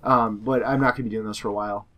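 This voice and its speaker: A hoarse young man, a gaming YouTuber, casually answering viewer questions in a loose, rambling way full of fillers and restarts. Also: on the low side, fairly even intonation, very fast, fairly bright tone. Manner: rambling, filler-heavy, self-correcting.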